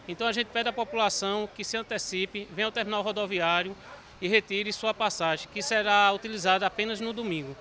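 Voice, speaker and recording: A man's voice: sits high, steady tone, fast talker, pushed and bright, slight background noise. A man interviewed outdoors for the news, explaining procedures and urging the public to act early. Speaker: bus terminal official.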